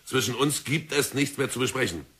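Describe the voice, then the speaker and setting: A stern bank manager who brushes visitors off, curt and cold. His voice is husky yet bright and forceful, in an old radio play. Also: mid-range voice, lively intonation, fairly quick. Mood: dismissive. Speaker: banker character. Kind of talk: 1960s radio drama acting.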